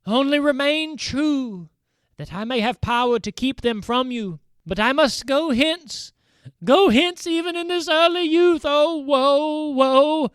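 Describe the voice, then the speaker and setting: A man reading an audiobook voices a young water-spirit wife, pleading and lamenting in a raised, high voice. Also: slow pace, a bit bright, no room sound, exaggerated voice. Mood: wailing.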